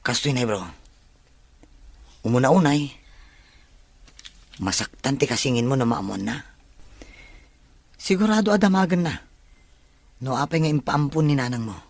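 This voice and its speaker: A man's voice: medium pitch, lively intonation, measured pace, pauses often, dry recording. A man playing a frank, cautioning male friend in an Ilocano audio drama.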